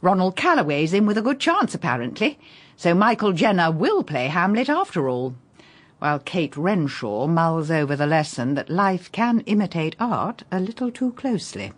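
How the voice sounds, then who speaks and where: A composed, shrewd woman detective in a radio play. Her voice is slightly low and husky, with lively, precise inflection and a faint musical backing. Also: moderate pace. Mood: dry, measured. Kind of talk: radio drama acting.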